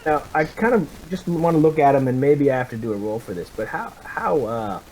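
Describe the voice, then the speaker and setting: A man's voice: mid-range voice, animated, fairly quick, a little mellow, slight background noise, rough recording. A man playing a tabletop roleplaying game, casually describing his character's actions in a hesitant, thinking-aloud way.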